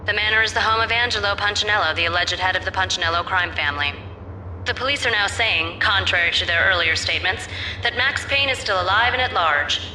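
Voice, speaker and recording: An actress playing a TV news reporter, reading a report in a crisp, brisk manner. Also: female voice, medium pitch, bright, forceful tone, roomy sound, a little hiss or hum, poor audio.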